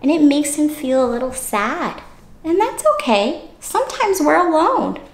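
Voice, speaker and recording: A woman's voice: high-pitched, sing-song, a little slow, echoey room. A woman teacher hosting an interactive read-aloud for young children, with exaggerated, playful, child-directed delivery. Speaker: teacher and story-time host for young children.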